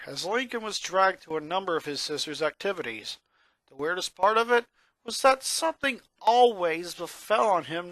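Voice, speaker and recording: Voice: medium pitch, bright, forceful tone, no room sound. A narrator reading a fan fiction story aloud in a storytelling style.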